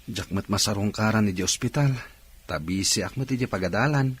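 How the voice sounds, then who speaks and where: A man's voice: lowish voice, a little fast, slightly rough. A man playing a concerned, respectful young student son with an Ilocano accent in a radio drama.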